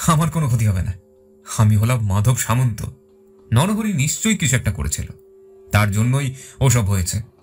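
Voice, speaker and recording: A man performs a brash village husband in an audio drama, sure of himself and dismissive. His voice is husky and rough, with faint music behind it. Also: medium pitch. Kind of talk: Bengali audio story acting.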